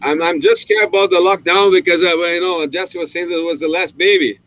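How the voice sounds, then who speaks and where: A man's voice: sits high, fairly even intonation, brisk, fairly bright tone, low-quality recording. A man from Brazil with a Brazilian accent, hosting a casual group chat in a conversational way. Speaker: host.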